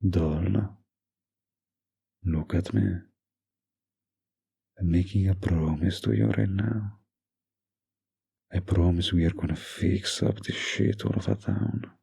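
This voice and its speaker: Man acting as low, gravelly doting mafia boyfriend. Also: mellow, full character voice. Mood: earnest, determined. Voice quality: low gravelly, breathy murmur. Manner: slow, tender, possessive sweet talk with pet names. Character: doting, dominant young mafia heir boyfriend. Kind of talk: intimate roleplay audio drama, scripted monologue.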